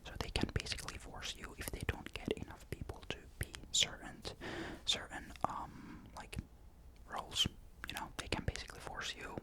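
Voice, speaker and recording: A young man from Finland, chatting in a soft ASMR whisper into a desktop mic, rambling with lots of fillers. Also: moderate pace. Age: young adult. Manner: soft unvoiced whisper throughout; rambling, many fillers and restarts. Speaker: ASMR creator. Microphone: desktop condenser mic.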